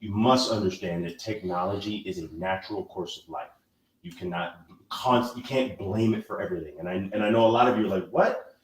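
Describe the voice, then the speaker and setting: A man's voice: low-pitched, a little fast, reverberant, far from the mic, rough recording. A man hosting a podcast, lecturing in an emphatic, preachy way during a casual discussion. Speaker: podcast host.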